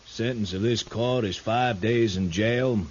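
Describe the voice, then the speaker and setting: An actor playing a pompous, curt town judge in a 1950s radio western, formal and stern. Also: male voice, on the low side, a little slow, natural voice. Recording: dull, noisy 1950s radio recording.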